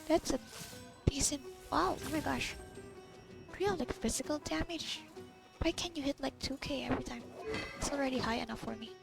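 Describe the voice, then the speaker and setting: High creaky-voiced woman reacting to a game. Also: a little fast, long pauses. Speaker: gamer playing and reacting. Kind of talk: casual gameplay commentary. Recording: game music behind the voice.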